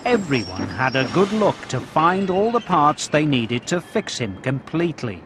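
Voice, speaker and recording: A man's voice: on the high side, sing-song, normal speed, background noise. A man reading a scripted children's story as narrator, speaking directly to young listeners. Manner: addresses young listeners with questions and countdowns. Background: music.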